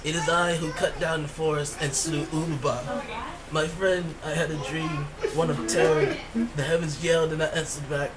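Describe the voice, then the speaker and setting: A man's voice: fairly high pitch, fairly even intonation, moderate pace, a loud crowd, natural voice, low-quality recording. A teen boy as dying Enkidu. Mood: fearful. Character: dying young friend Enkidu, fearful.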